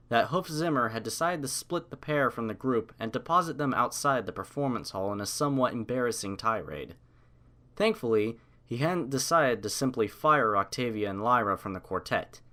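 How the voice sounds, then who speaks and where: Man, story narrator, medium pitch, scripted reading. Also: pushed and bright.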